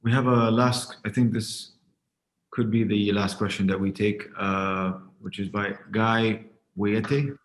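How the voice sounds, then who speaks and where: A man's voice: on the low side, level tone, slow pace, echoey room. A man acting as moderator of a question session in a panel talk.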